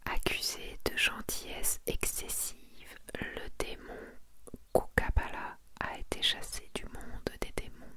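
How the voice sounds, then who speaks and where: A woman whispering in a breathy, intimate ASMR style, casually presenting her collection to one listener. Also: slow, sharp tone, no room sound. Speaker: ASMR video creator presenting a manga collection.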